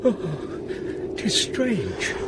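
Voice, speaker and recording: Horatio, animated scholarly sceptic. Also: male voice, sits high, drawn-out words, heavy background noise, exaggerated voice. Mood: shaken, awed. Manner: eloquent, emphatic verse delivery. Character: Horatio, scholarly sceptic, awed and shaken by a ghost. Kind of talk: classical Shakespearean audio drama acting.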